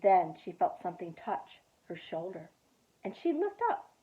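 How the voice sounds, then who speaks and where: A woman youth director reading a children's storybook aloud, expressive with dramatic pauses. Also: on the low side. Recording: tinny phone-like audio.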